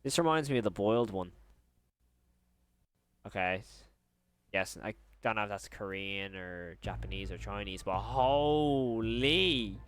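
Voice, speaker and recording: A man's voice: lowish voice, animated, measured pace, pauses often, fairly bright tone, clean tone. A man giving casual reaction commentary, informal and exclamatory, often repeating himself. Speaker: host of horror reaction channel.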